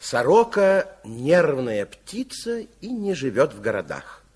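A man narrating a 1960s radio play as a wry, theatrical storyteller with expressive scripted delivery. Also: mid-range voice, takes it slowly, husky.

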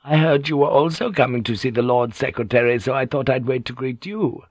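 Man doing a sly, plaintive woman, pitched up. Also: a little fast, no room sound, close to an everyday voice. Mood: sly courtesy. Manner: unctuous, plaintive, insinuating. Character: sly, aggrieved woman, falsely courteous and complaining. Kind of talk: audiobook character dialogue.

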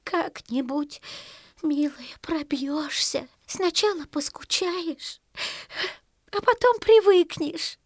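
A very high, slow storybook voice of an old mother duck. She is tender and sorrowful, gently consoling her child. The sound is dry and close. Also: no room sound. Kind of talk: audio fairy-tale acting.

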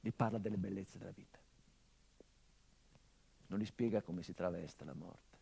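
A man with an Italian accent acting in a radio drama as a grieving grown son, speaking a theatrical monologue that swings from quiet and low to impassioned outbursts. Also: normal speed, gravelly, husky, heavily acted, low-quality recording. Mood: bitter. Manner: intense, builds to impassioned outbursts then drops low.

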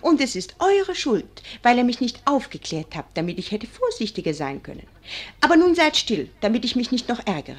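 An actress playing a cheeky, coquettish young wife in a 1940s German radio play, coy, sing-song and theatrically indignant. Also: female voice, fairly high pitch, very animated, normal speed. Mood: indignant, pouting.

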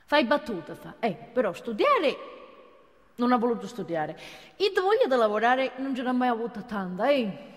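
An actress playing a fond, plain-spoken gossip, telling a story haltingly in a theatrical monologue. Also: female voice, a little high, big pitch swings. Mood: fondly resigned. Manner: halting, folksy, with frequent tag questions. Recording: hall reverb, faint music behind.

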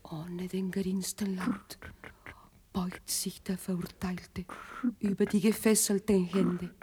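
A woman's voice: mid-range voice, mellow. Woman reading solemn radio drama narration, really drawn out phrases, slight noise behind.

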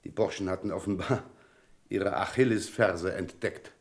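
A man's voice: deep voice, normal speed, gravelly. A man playing a self-assured Scotland Yard chief inspector in a German radio crime drama, explaining things with dry, polite irony. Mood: matter-of-fact. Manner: drily ironic, politely explaining.

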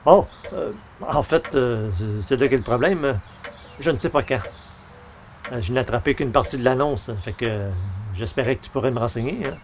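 An actor playing a good-natured, slightly frustrated columnist in a radio comedy, speaking colloquial, hesitant French with a Canadian accent. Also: male voice, medium pitch, moderate pace, a little hiss or hum, close to an everyday voice. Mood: sheepish, frustrated. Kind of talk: old-time radio comedy acting.

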